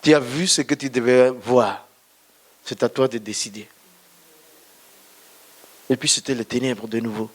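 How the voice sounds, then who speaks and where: A man's voice: mid-range voice, steady tone, a little slow, long pauses. A male pastor whose first language is not French, giving a storytelling testimony sermon in church and speaking straight to his listeners. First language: not French, another mother tongue. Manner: storytelling, addresses listeners directly.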